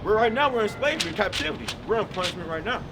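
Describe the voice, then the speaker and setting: A man's voice: sits high, animated, very fast. A man preaching outdoors on a city street, emphatic and firing questions at his listener. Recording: distant mic with loud traffic noise.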